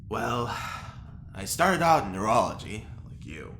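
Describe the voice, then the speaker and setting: An actor playing a vain, fretful, aging criminal and test subject in an audio drama, speaking dramatically and haltingly. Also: male voice, fairly low pitch, big pitch swings, a bit bright. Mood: smug, flirtatious. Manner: dramatic, halting, self-admiring. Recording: noisy, roomy recording.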